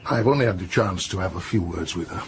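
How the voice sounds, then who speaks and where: Low-voiced police investigator. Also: male voice, quick pace, a little hiss or hum. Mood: informative. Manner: formal, explanatory. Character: brisk, official French police investigator, middle-aged man. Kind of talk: audio drama acting.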